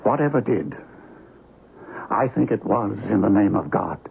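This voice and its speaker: Slow, grave exorcist doctor. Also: male voice, lowish voice, lively intonation, creaky, full character voice. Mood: subdued, grave. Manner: solemn, measured, declamatory. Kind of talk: radio horror drama acting. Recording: noisy phone-like radio recording.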